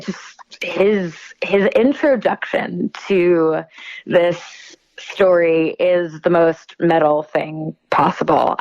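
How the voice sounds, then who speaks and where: Muffled, lower-pitched woman interview guest. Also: darkish tone. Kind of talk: casual promotional interview.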